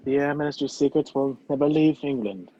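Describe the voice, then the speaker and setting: A man playing a determined, wrongly accused gentleman on the run in an amateur radio play, over a video call. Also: medium pitch, a little fast, close to an everyday voice. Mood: gentle, grave. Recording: dull, lo-fi video-call audio.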